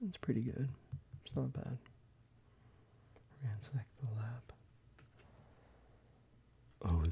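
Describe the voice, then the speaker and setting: Man, soft whisper, gravelly, mellow tone, slightly dull recording. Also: mid-range voice, slight background noise. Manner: whispered, unhurried, with fillers. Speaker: ASMR creator. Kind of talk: soft-spoken ASMR card deck showcase.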